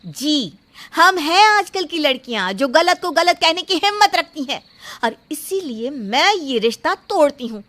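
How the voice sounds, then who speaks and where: A woman's voice: sits high, very animated, unhurried, a bit bright, heavily acted. A woman playing an outspoken, self-respecting young bride-to-be in a story drama, speaking firmly and indignantly. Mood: defiant, proud. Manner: firm, indignant, emphatic. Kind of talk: dramatised Hindi moral story acting.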